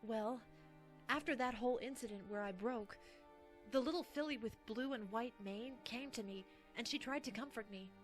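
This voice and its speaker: A woman's voice: fairly high pitch, moderate pace, soft background music, lightly acted. A woman voicing a young unicorn mare, brusque and clipped at first, then earnest. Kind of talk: animated drama dialogue.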